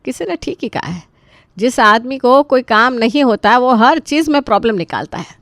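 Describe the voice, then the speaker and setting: A woman's voice: a little high, animated, moderate pace, fairly bright tone, no echo, studio quality, voice pushed up. A woman voicing an exasperated, put-upon middle-aged mother-in-law in a story, complaining dramatically. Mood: scolding.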